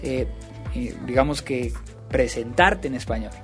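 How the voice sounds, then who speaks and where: A man's voice: mid-range voice, a little slow, a bit bright, faint music behind. A man, hosting a podcast and talking casually, with frequent fillers and restarts. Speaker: podcast host.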